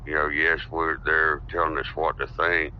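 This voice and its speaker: A man with a very deep voice talks politics as a podcast guest. He explains his views earnestly and steadily. The recording sounds dull and lo-fi. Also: level tone, moderate pace, slight background noise. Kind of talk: casual political discussion. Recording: dull lo-fi audio.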